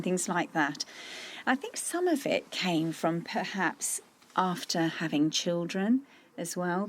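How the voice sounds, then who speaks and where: A middle-aged woman from Austria talks as a podcast guest in an earnest, encouraging way, often restarting her phrases. Her voice sits low and has a gravelly, creaky texture. A faint hiss of noise runs behind her. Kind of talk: casual podcast interview.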